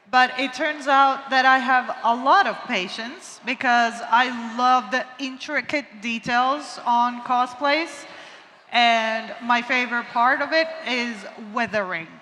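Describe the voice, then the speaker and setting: A woman's voice: sits high, bright, forceful tone. A young woman from Croatia speaking as a convention panelist, making dry, teasing remarks about her husband. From Croatia, Split. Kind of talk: casual convention talk. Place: convention lecture hall. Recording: reverberant hall with faint noise.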